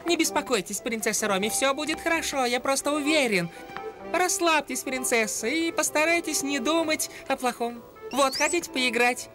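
A woman's voice: sits high, normal speed, lightly acted. A woman voicing a small, plucky young male animal sidekick in a cartoon, with an earnest delivery. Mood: reassuring. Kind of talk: dubbed cartoon acting. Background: music.

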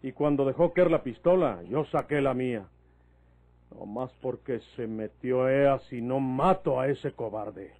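A wary, fatherly older rancher in an old Mexican radio drama. He has a folksy rural Mexican accent and a mid-pitched voice, and he explains things without hurry. The sound is thin and phone-like, like an old broadcast. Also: a little slow. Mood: indignant recounting. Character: plain-spoken older country man, fatherly and wary. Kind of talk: vintage Mexican radionovela acting.

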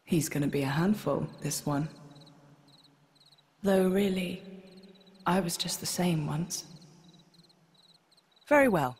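A gentle young female warrior, unhurried. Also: fairly low pitch, animated, husky, full character voice. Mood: wry, friendly. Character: kindly young woman warrior, gentle and reassuring. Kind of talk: video game cutscene acting.

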